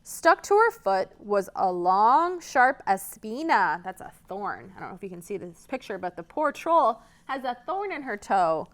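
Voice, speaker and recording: A woman reading a children's story aloud as a library storyteller, expressive, pausing to ask and explain. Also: medium pitch.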